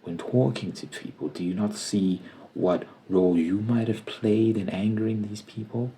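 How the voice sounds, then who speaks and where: A man doing a soft-spoken news anchor, deep voice. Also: steady tone, moderate pace, lightly acted. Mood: formal, probing. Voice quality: soft-spoken, half-whispered. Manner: stiff, stammering interviewer tone. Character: man playing a stern, formal TV news anchor. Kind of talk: ASMR roleplay.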